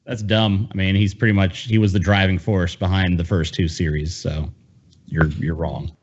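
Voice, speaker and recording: A man with a fairly deep voice chats casually as a podcast co-host. He is blunt, informal and given to swearing. The recording sounds a little muffled. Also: little pitch movement, normal speed, slight background noise.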